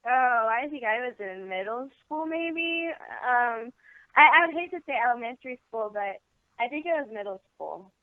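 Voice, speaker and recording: A woman's voice: a little high, expressive, moderate pace, no room sound. A young American woman giving candid, informal answers as an interview guest. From Baltimore area, eastern United States. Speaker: interview guest, adult film performer. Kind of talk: casual interview chat. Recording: tinny narrowband phone line, dry.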